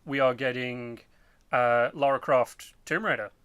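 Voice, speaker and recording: A man in his twenties talks as a podcast host in a casual, animated storytelling manner. His voice sits at an average pitch, and the sound is dry with very little room in it. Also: a little slow, smooth, no echo. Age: 20s. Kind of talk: casual chat about films and games.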